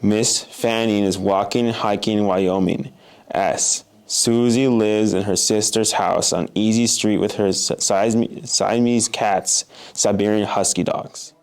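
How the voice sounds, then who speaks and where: Young Midwestern man, low voice. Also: steady tone, measured pace, a little mellow, clear, resonant tone. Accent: Midwestern American. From Oak Park, Illinois, USA. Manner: casual, uses many "like" fillers. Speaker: interviewee. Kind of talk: read-aloud passage and casual interview.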